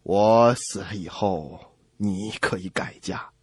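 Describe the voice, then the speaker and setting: A man voicing a rich old husband in a comedy radio skit, speaking slowly, low and solemnly. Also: breathy edge, no room sound, studio quality, exaggerated voice.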